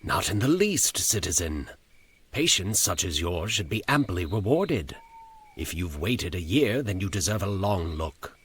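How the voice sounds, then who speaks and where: A man's voice: sits low, very animated, a little slow, fairly bright tone, slightly rough, full character voice. Man voicing an unruffled, lilting masked graveyard stranger. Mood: calm, courtly. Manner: unruffled, formal, old-fashioned, faintly amused. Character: calm, commanding, mysterious male stranger in harlequin mask. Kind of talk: dramatised horror short story.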